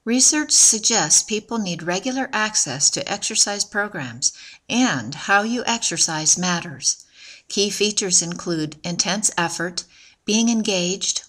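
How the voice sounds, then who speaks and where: A woman narrating a scripted promotional video in an even, measured, informative way. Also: fairly low pitch.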